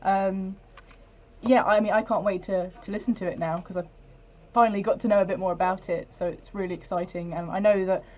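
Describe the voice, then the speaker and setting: Mellow young woman, dull call audio. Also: mid-range voice, fairly even intonation, fairly quick. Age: 20s. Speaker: interview team member. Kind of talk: casual closing thanks. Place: dim studio room. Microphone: earphones, video call.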